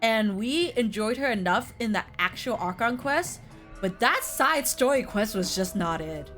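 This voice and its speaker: A woman's voice: a little high, animated, measured pace, fairly bright tone. A woman hosting a casual, chatty discussion of video games. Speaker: host of a gaming tier list video. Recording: music playing behind the talk. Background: background music.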